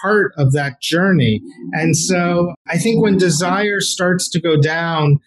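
A man's voice: sits high, takes it slowly, low-quality recording. A man answering interview questions, explaining at length with frequent repeated words and fillers. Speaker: sex therapist guest.

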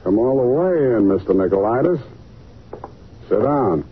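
An American actor playing a wry, unflappable hard-boiled private detective in 1940s radio style, clipped and slangy. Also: male voice, medium pitch, expressive, unhurried, slight background noise, lightly acted. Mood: wry, businesslike. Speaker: lead actor and narrator of a detective series. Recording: dull old radio recording with music.

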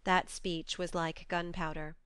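A woman reading a novel aloud as an audiobook narrator, in expressive scripted storytelling. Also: on the low side, unhurried, smooth, no room sound.

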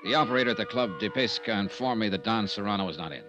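An actor playing a cool, wry, persistent insurance investigator in a 1950s hardboiled radio drama. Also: male voice, on the low side, fast, music in the background, lightly acted. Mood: matter-of-fact narration. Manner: wry, probing. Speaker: lead character and narrator. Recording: noisy 1950s radio recording.

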